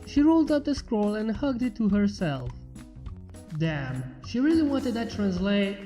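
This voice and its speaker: Brief: first-person story reader, steady and even, mellow warm tone, faint music behind. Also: mid-range voice. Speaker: fanfiction reader. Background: faint background music.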